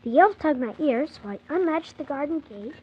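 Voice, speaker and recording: High-voiced, wide-eyed young boy storyteller. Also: moderate pace. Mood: wondering. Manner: animated, earnest, wondering narration. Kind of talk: 1940s children's radio storytelling. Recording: muffled, hissy 1940s radio transcription.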